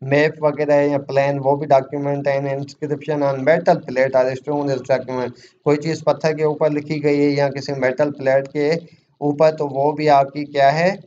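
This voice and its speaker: Male Pakistani lecturer, dark mellow voice, didactic question-and-answer delivery, slightly noisy recording. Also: a little high, level tone, unhurried. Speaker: law exam lecturer. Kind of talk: explanatory lecture, reading English statute aloud and explaining it in Urdu. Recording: muffled lo-fi audio with faint noise.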